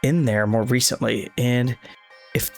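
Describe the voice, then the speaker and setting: A man's voice: medium pitch, fairly even intonation, measured pace, creaky, soft background music. A man reading a visual novel's dialogue and narration aloud for a let's play video, sometimes tripping over lines and correcting himself.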